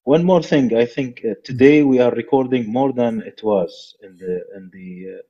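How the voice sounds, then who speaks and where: A middle-aged man hosting a podcast over a video call on a headset, asking questions in a halting way with many fillers. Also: mid-range voice, husky, dull audio. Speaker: podcast host. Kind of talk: interview questions.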